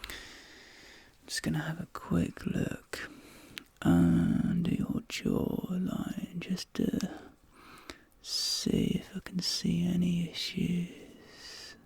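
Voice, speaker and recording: A person whispering gently and reassuringly in an ASMR dentist role play, with unhurried short soft phrases, close to the microphone. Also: mid-range voice, little pitch movement, no room sound. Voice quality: breathy whispering. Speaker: ASMR performer playing a dentist.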